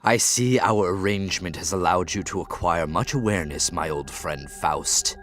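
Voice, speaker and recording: A man playing a sly, menacing devil in an audiobook, with low, formal and deliberate speech. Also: fairly bright tone, faint music behind. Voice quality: low, growling utterance. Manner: formal, deliberate, archaic phrasing. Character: hooded devil, menacing, sly, resentful beast. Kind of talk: dramatized audiobook acting.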